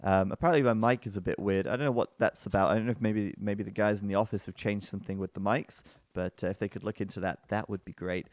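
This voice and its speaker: A man commentating a strategy game match live, chatty and full of fillers, breaking into excited outbursts at the action. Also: quick pace, few pauses, no echo. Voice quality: bassy-sounding voice. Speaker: esports commentator.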